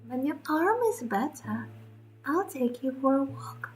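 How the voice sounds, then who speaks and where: A woman voicing a haunting, ghostly young girl with a measured, condescending and quietly menacing manner in a horror game. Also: high voice, sing-song, soft background music, voice pushed up. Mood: eerie calm. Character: blank-faced ghostly young girl, haunting, eerily calm, commanding.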